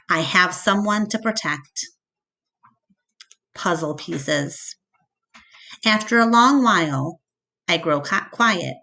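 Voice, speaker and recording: Woman teacher reading a kids book out loud, slowish, lowish voice, dramatic and deliberate. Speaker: teacher reading aloud to a class. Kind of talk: expressive storybook narration.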